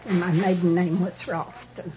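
A woman's voice: lowish voice, level tone, normal speed, warm tone, a little hiss or hum. A woman in her eighties from rural America, giving her details as an interviewee. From rural United States. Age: 80s. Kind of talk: introducing herself in a group interview.

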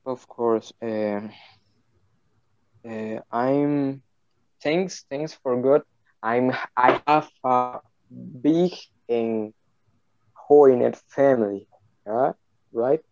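A slow, hesitant man from Colombia speaks English as a second language, restarting his sentences and apologizing for his words. His voice is smooth and clear. The sound is dry and a little dull. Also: medium pitch, darkish tone, no room sound. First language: Spanish. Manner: halting, many restarts and apologies. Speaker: English student. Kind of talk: interview.

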